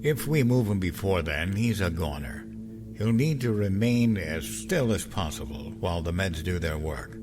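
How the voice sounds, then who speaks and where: An actor playing a careful, clinical starship doctor in an audio drama, precise and explanatory. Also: male voice, deep voice, animated, normal speed, music in the background. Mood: clinical, grave.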